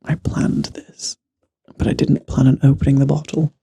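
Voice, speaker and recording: A man who is losing his voice and sounds hoarse and raspy. He chats casually in an ASMR-style whisper, joking at his own expense and slipping between whisper and voice, into a handheld mic. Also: medium pitch, flat delivery, normal speed, dark tone. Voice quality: raspy, guttural. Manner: chatty, self-deprecating, drifts between whisper and voice. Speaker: vlogger. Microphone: handheld microphone.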